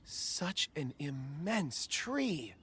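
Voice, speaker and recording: A man voicing a mild, unassuming young man in a video game, halting and soft-spoken. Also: a little high, very animated, background noise, full character voice. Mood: curious. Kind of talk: video game character acting.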